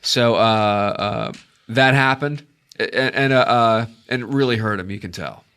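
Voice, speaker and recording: A congested man narrating as dungeon master, halting with many fillers. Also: medium pitch, a bit bright, smooth. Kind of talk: narrating a tabletop game.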